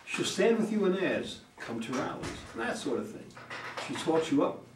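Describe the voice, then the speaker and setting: A man's voice: fairly high pitch, big pitch swings, moderate pace. A man playing a brash, cynical, pushy campaign manager in an amateur play reading, blunt and wisecracking. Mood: persuasive. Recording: distant, echoey room, faint noise.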